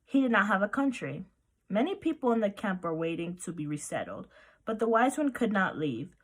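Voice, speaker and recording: Female read-aloud narrator, lively swooping intonation, expressive picture-book storytelling. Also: medium pitch, moderate pace, breathy edge.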